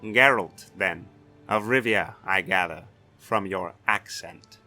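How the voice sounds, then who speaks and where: A man's voice: sits low, takes it slowly, pushed and bright, faint music behind, heavily acted. A man voicing a blustering, sardonic, authoritative castellan in a fantasy audiobook. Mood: sardonic, stern. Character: blustering, weary-wry male castellan of a fantasy city.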